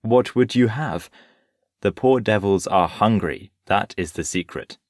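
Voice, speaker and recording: An actor playing a worldly, unruffled Parisian nobleman in an audio play, urbane and gently patronising. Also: fairly low pitch, measured pace, dry recording, studio quality, close to an everyday voice. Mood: amused.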